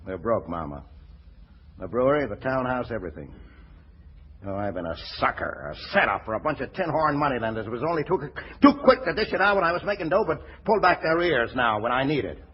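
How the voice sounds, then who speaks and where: A man plays a brash middle-aged racketeer gone into business, fast-talking in slangy, ungrammatical stammers. His pitch jumps around animatedly. The sound is a dull 1940s radio comedy recording with some hiss. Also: very animated, lightly acted. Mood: agitated. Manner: stammering, slangy, ungrammatical tough-guy talk. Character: brash middle-aged ex-racketeer turned brewery boss, streetwise, wheedling.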